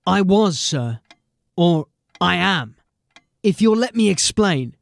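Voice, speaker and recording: An actor playing an earnest, dogged watch sergeant in a fantasy audio drama, deferential but insistent. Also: male voice, high-pitched, sing-song, takes it slowly, creaky, no room sound, heavily acted. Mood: defensive.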